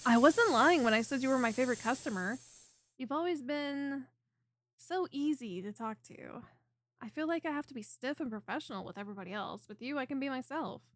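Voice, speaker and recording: A woman playing a friendly, clingy female lamia chiropractor in an ASMR roleplay, speaking in a soft, coaxing and teasing way directly to the listener. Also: high-pitched, fairly quick, no room sound, studio quality. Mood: tender confession. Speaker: voice performer.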